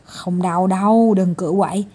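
A woman's voice: mid-range voice, fairly even intonation, moderate pace, warm tone, slightly rough, close to an everyday voice. A woman voicing a tiny male doctor in a children's tale, patient and coaxing but mildly exasperated. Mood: coaxing, reassuring. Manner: coaxing and scolding.

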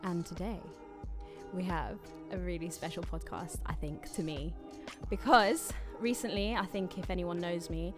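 A woman's voice: lowish voice, moderate pace, over music. Chatty woman hosting a podcast. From London. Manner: chatty, laughs easily, trails off mid-sentence. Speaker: podcast host. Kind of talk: casual podcast chat.

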